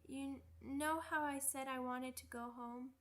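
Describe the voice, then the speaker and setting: A woman's voice: high voice, fairly even intonation, measured pace, fairly bright tone. A woman playing a young werewolf pup in an ASMR roleplay, childlike, cheeky and earnest, with pouty, rambling speech. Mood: vulnerable, earnest. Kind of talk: ASMR roleplay audio drama.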